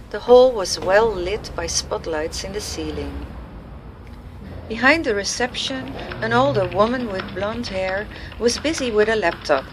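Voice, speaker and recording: Woman narrator for a radio play story, really slow reading, faint background ambience. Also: medium pitch, rough recording. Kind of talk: scripted audio drama narration. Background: faint noise and ambient sound effects.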